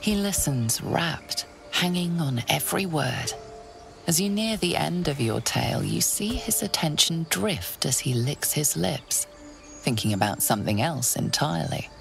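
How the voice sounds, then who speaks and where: A woman playing a measured storyteller, narrating a fantasy video game in the second person. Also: sits low, expressive, gravelly, exaggerated voice. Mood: measured, ominous. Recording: faint music behind.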